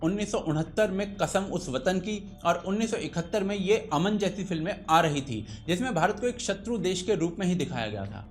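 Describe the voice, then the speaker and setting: Animated, high-pitched Indian man narrating an explainer. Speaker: host of a video essay.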